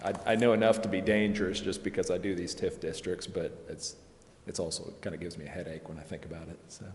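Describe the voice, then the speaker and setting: A man's voice: low voice, level tone, fast. A man explaining things informally as an advisor at a public meeting. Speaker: consultant attorney advising a city council. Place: indoor council chamber. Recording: roomy echoing chamber with background noise.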